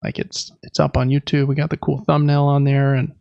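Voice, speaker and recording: A man's voice: medium pitch, little pitch movement, a little fast, vocal fry, no echo, highs cut off. A man in his thirties hosting a casual podcast, rambling along in a chatty, self-deprecating way. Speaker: podcast host. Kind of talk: casual chat about games and life.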